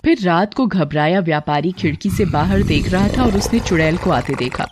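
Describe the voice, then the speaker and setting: A storyteller narrating a scripted moral horror tale for an animated story. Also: medium pitch, normal speed, noisy background.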